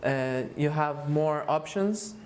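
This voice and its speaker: A man in his twenties from Argentina gives short interview answers. He pauses and restarts often as he searches for words. A faint hiss runs underneath. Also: on the high side. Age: 20s. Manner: hesitant, halting with restarts. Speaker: survey respondent. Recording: faint background noise.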